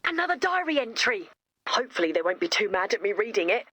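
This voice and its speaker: A woman's voice: medium pitch, sing-song, fast talker, sharp tone, slight background noise. A woman playing an eager, kindhearted young inventor in a video game, bubbly and theatrical. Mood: curious, excited. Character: eager, kindhearted young female inventor, excitable and well-meaning. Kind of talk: animated video game character dialogue.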